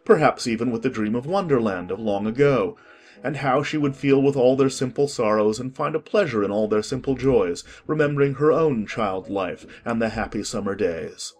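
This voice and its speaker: A man narrating an audiobook in a calm, measured way. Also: mid-range voice.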